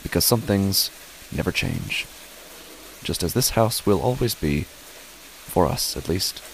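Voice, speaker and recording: A man's voice: animated, slow, a little hiss or hum, rough recording. A man reading scripted horror fiction as a first-person narrator. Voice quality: low-pitched. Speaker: podcast host and narrator.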